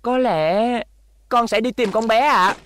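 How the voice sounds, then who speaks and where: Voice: mid-range voice, takes it slowly, a bit bright. An actor voicing an earnest, polite young robot boy hero with a boyish manner in a cartoon dub.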